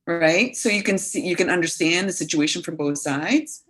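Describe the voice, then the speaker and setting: A woman's voice: on the low side, normal speed, fairly bright tone, poor audio. A woman in her thirties, a guest coach talking earnestly and animatedly in a casual podcast conversation, full of fillers.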